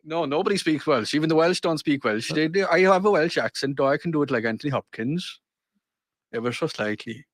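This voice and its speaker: A man's voice: fairly high pitch, expressive, a little fast, no room sound. Joking Irish man on a podcast. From Ireland. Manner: joking, drops into silly put-on voices. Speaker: podcast co-host. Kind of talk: casual chat.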